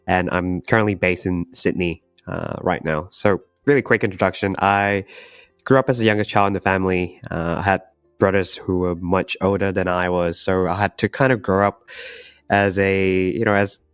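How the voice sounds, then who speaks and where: A man's voice: low voice, steady tone, normal speed, smooth, no echo, soft background music. A young man from Malaysia, a startup co-founder, chatting casually as a podcast guest. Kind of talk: casual self-introduction in an interview.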